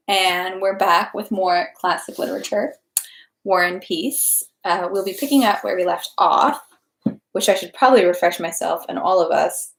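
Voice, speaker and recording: Woman, mid-pitched voice, informal read-aloud host, livestream from an echoey room. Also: creaky. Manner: informal, rambling, self-interrupting. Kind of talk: casual livestream chat, then audiobook-style reading.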